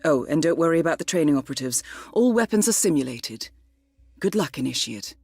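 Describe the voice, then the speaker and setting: A woman playing a composed, confident young British spy handler in a video game, speaking formally and with measured poise. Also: low-pitched, expressive, vocal fry, darkish tone. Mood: briskly instructive. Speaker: mission handler and training guide. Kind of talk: video game cutscene acting and mission briefing. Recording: background score under the voice.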